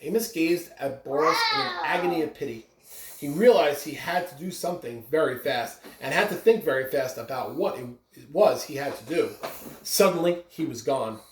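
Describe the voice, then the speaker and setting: A reader giving an expressive read-aloud of a children's story. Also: medium pitch, moderate pace. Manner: expressive, with brief asides to listeners. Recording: roomy echo, clear background noise.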